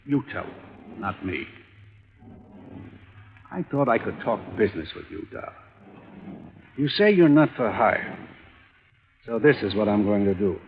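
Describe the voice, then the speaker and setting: A pushy big-money suspect who leans on cash to get his way. His voice sits low and comes through thin and phone-like, as on an old radio broadcast. He bargains in 1950s radio drama style. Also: big pitch swings, a little slow, pauses often. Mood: pleading. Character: rich, pushy murder suspect, middle-aged man. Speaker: murder suspect.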